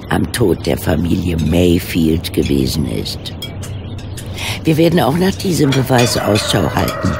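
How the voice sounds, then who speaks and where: Gravelly, methodical male detective. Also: lowish voice. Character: patient, methodical male amateur detective, calmly reasoning. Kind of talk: German detective audio drama acting.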